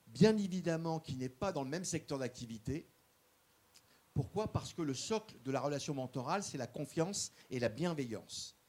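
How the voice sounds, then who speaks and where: A man giving an earnest public speech as guest speaker, halting often and repeating small words as he goes. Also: on the high side, darkish tone. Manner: earnest, stresses key points. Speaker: guest speaker promoting a mentoring program. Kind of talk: public speech at a formal session.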